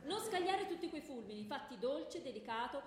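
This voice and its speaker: A woman's voice: high-pitched, expressive, normal speed. An actress playing an eternally young boy love god, coaxing and pert in comic theatre. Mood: pert, persuading. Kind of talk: comic stage radio theatre. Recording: echoey hall, clearly noisy.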